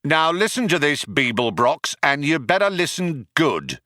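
A man voicing a male alien space cop in an audiobook, shouting threats in an earnest, aggrieved, self-justifying way. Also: on the high side, sing-song, unhurried, dry recording, studio quality, voice pushed up, heavily acted. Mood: aggrieved bluster.

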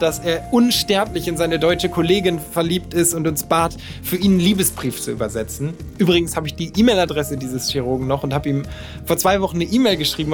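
A man in his thirties from northern Germany tells a personal story with frank, self-deprecating candor. His voice sits a little higher than most men's, and soft music plays behind him. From Lower Saxony, Germany. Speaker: podcast narrator.

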